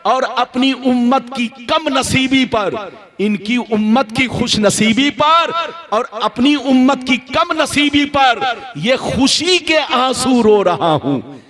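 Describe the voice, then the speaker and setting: A man's voice: very high-pitched, animated, slow, fairly bright tone. A man delivering an impassioned Islamic sermon as a preacher, declaiming stories, repeating phrases for emphasis and urging listeners to respond. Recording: live gathering with crowd noise.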